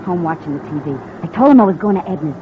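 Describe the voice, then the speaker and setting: Actress as a brassy, animated American wife. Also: female voice, on the low side, fast talker, natural voice. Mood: scheming. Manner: slangy, insistent. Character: brassy, stubborn, scheming wife. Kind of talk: 1940s-50s crime radio drama acting. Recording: muffled old radio recording with noise.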